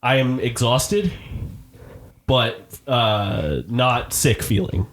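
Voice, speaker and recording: A man's voice: medium pitch, extremely slow, a bit bright, rough recording. A man co-hosting a podcast who sounds congested, telling a long, rambling story in casual chat and swearing freely. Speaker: podcast co-host.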